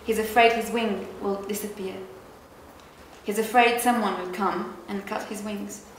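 A woman performing a play reading as a gentle storyteller, in slow, simple storybook phrasing. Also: medium pitch, steady tone, close to an everyday voice. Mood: wistful. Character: gentle storyteller of a fairy tale about a dog. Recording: very echoey room, clear noise.

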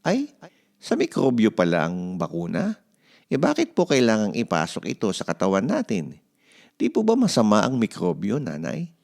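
A man's voice: on the low side, wildly swooping pitch, normal speed, gravelly, darkish tone, full character voice. A man voicing a curious, worried young boy with childlike, wide-eyed questioning in a read-aloud storybook. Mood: surprised, puzzled.

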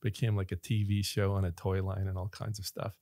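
A middle-aged man from Northern Ireland with an American accent, a relaxed guest telling stories in a casual studio interview. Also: low-pitched, a little fast, no room sound. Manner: relaxed storyteller, laughs often, gestures with hands. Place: small podcast studio. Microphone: studio mic on boom arm, headphones on.

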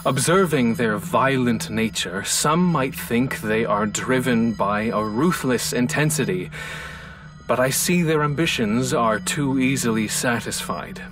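A man's voice: medium pitch, distant mic, music in the background, lightly acted, poor audio. A man playing an earnest, philosophical space station scientist, speaking formally in audio logs for a sci-fi game. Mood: solemn. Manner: formal, measured, contemplative. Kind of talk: scripted audio log monologue for a sci-fi game.

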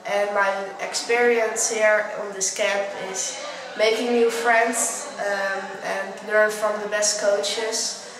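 A teenage girl, a softball player, speaking slowly and hesitantly. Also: mid-range voice, little pitch movement, recorded from a distance. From Netherlands. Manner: slow, hesitant. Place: indoors by a brick wall. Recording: very echoey room from afar, crowd behind.

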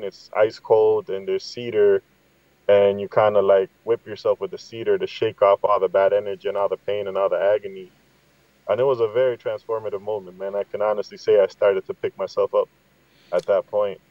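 Low-voiced man, roomy dull sound. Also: level tone, a little fast. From Guatemala. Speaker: podcast guest. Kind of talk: reflective conversational storytelling. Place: inside a parked vehicle.

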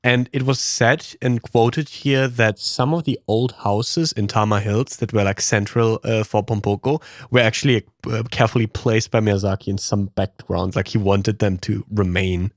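Low-voiced man chatting about film. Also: steady tone, normal speed, hardly any pauses, no room sound, studio quality. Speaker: podcast panelist. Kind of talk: casual film discussion.

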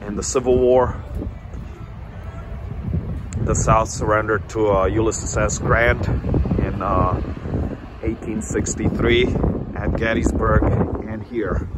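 Slow, low-voiced man on a walking tour. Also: fairly even intonation. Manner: unhurried, many filler pauses. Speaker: travel vlogger. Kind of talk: casual walking-tour narration. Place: outdoors on a small-town street. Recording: outdoor street noise.

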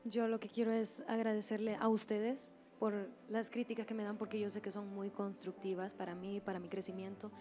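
A woman's voice: mid-range voice, level tone, a little fast, hardly any pauses, dark tone. A young woman giving humble, grateful remarks on a stage. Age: young adult. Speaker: contestant. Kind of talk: thank-you remarks on stage. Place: TV studio stage. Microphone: handheld microphone.

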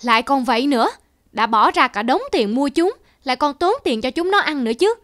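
A woman's voice: high-pitched, lively intonation, a little fast, a bit bright, dry recording, studio quality. A woman voicing a stingy, bossy middle-aged male landowner in a cartoon folk tale, grumbling and commanding. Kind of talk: animated folk tale acting.

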